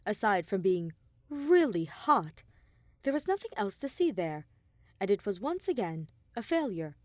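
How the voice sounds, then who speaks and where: A woman reading scripted fan fiction aloud as an expressive story narrator. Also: medium pitch, unhurried, no room sound. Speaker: fan fiction podcast reader.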